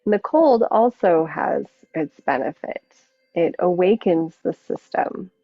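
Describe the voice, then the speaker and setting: Lady yoga teacher, kinda low voice, really slow n gentle w long pauses, dry but thin phone-like audio. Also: lively intonation, dry recording. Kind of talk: guided yoga and relaxation instruction. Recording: muffled narrow phone-like sound.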